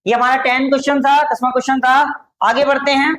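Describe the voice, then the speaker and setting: A woman with an Indian accent teaching spoken English to Hindi-speaking students, slowly dictating model answers and repeating each phrase. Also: high-pitched, level tone, a bit bright, slight background noise.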